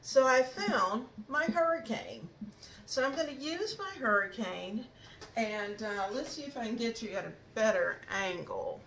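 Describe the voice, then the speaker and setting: A woman's voice: a little high, animated, a little slow, fairly bright tone, a little hiss or hum. An older woman hosting a home decor vlog, talking casually and encouragingly as she demonstrates.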